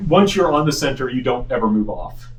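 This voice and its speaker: A man's voice: mid-range voice, very animated, a little fast, a little hiss or hum. A man running a casual tabletop game, explaining the rules and thinking aloud as he rephrases, with group chatter around him. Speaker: game designer and teacher running the game.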